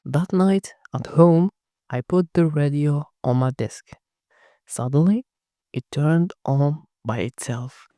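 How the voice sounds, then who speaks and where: A narrator telling a first-person horror story in a hushed, dramatic scripted reading. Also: medium pitch, slow, no room sound.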